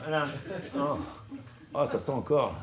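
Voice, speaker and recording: A man acting in a comedy sketch, teasing and wry in lively banter. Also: mid-range voice, unhurried, a crowd in the background.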